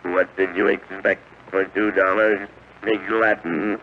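A man voicing a sardonic, deadpan talking goose in an old radio comedy. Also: sits low, a little slow. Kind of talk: 1930s radio comedy acting. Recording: noisy, tinny old radio recording.

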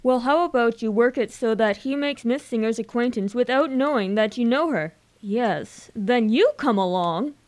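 A woman playing a breezy, generous young upper-class gentleman in a radio comedy, using old-fashioned society slang. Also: fairly high pitch, moderate pace, hardly any pauses, natural voice. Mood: confident.

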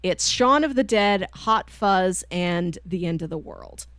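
A woman's voice: lowish voice, animated, takes it slowly, fairly bright tone, clear, resonant tone, dry recording, studio quality. A woman co-hosting a podcast in casual chat, with an enthusiastic, chatty manner. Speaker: podcast co-host. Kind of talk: casual chat, pitching films to co-host.

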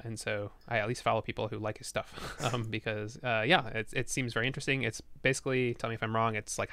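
A man's voice: on the low side, pushed and bright, no echo. A man in a casual chat, answering in an easygoing way with short replies.

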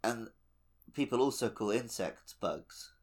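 A man's voice: medium pitch, lively intonation, unhurried. A man in casual gaming podcast chat, informal and musing, as if telling anecdotes between friends. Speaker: podcast co-host; teacher.

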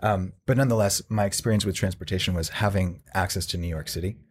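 Man from new jersey, very deep voice, husky, storytelling, halting. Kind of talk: casual group conversation.

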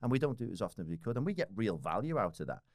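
A man's voice: lowish voice, big pitch swings, rapid-fire, no room sound, studio quality. A man in his forties with a Liverpool accent, chatting casually as a podcast guest, rambling with lots of restarts and fillers. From Liverpool, England. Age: 40s.

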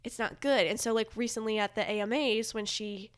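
Woman podcast guest, comedic and opinionated, fairly high voice, emphatic casual chatter. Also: steady tone, fairly quick. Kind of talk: casual comedic pop culture chat.

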